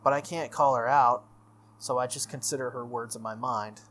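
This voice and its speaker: A man's voice: medium pitch, fairly even intonation, normal speed, fairly bright tone, slight background noise. A man reading visual novel narration aloud as the host of a playthrough. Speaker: gaming channel host. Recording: music under the voice. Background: game music.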